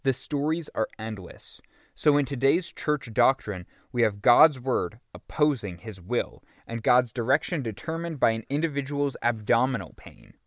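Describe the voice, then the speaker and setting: A man narrating a religious teaching audiobook in an earnest, emphatic, deliberately phrased way. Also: medium pitch, animated, dry recording, clean studio sound. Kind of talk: scripted audiobook reading of a religious teaching book.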